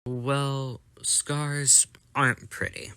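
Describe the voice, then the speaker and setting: A man's voice: mid-range voice, very slow, creaky, pushed and bright, smooth, exaggerated voice. A man playing a plucky, blunt young boy in a motion comic drama, childish and matter-of-fact. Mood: curious, teasing.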